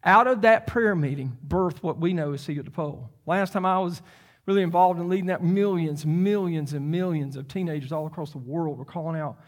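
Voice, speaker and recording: A man, preaching a sermon in an animated way, repeating and restarting his phrases and climbing to near-shouts. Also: a little high, fairly quick. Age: 50s. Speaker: pastor.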